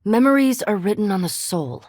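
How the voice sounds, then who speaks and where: Erudite vampire scholar, female, played by an actress, fantasy game. Low, breathy-edged voice, precise formal delivery, cool curiosity, close dry recording. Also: lively intonation, moderate pace, a little mellow, no room sound, close to an everyday voice. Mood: measured, scholarly. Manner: formal, precise, dryly intellectual. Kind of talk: scripted fantasy game dialogue.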